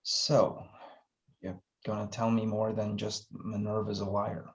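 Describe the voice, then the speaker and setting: An actor playing an awkward, sarcastic, lovesick young man in a screenplay table read, with halting, stammering delivery. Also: lowish voice, flat delivery, dull audio. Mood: concerned.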